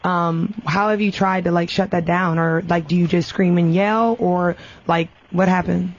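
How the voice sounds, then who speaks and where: A woman's voice: lowish voice, fairly even intonation, normal speed, muffled recording. Defensive woman debating on talk radio. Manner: defensive, persistent, repeats herself. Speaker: radio show panelist. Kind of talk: unscripted argumentative talk radio chat.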